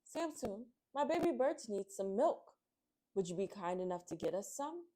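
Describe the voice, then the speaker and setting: A woman voicing a gently encouraging mother bird who calls out and coaxes, in a children's picture book. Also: medium pitch, big pitch swings, moderate pace, a little hiss or hum, close to an everyday voice. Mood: polite request. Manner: calling out, coaxing.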